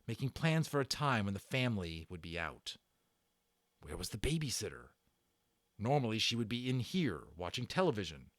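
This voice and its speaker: A man narrating a children's novel in a theatrical way, stressing words and pausing for drama. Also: lowish voice, dry recording, clean studio sound. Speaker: audiobook narrator and channel host. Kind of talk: dramatic read-aloud storytelling of a children's novel.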